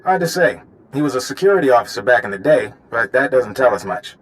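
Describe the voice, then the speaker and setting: Easygoing male field agent, medium pitch, wry, informal, video game dialogue. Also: sing-song, fast talker, fairly bright tone, low-quality recording. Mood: frank.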